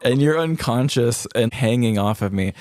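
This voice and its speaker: A man's voice: mid-range voice, moderate pace, background noise. A man co-hosting a podcast, telling stories in a casual, joking, informal way with wry humor. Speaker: podcast co-host. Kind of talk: casual comedic storytelling chat.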